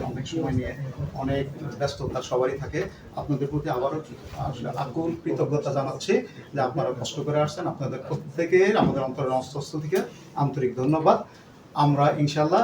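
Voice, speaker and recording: A man speaking earnestly to a gathering of shareholders as their company leader, repeating himself and dropping in English words. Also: a little high. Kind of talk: speech to a gathering. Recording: echoey room, distant mic, background noise.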